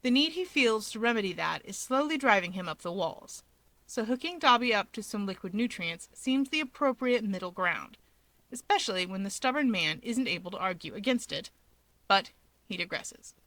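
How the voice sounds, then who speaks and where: A woman reads a story aloud with a bright, forward voice. Her narration is expressive and conversational with a wry edge, recorded very dry with no room sound. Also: medium pitch, moderate pace, dry recording, poor audio. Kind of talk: expressive narration of fan fiction.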